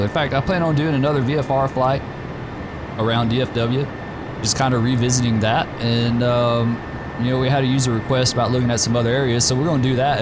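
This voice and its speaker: A slightly hoarse man hosting a flight sim stream, talking in a relaxed, rambling way with many fillers. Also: medium pitch, heavy background noise. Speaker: gaming channel host. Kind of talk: casual gameplay commentary.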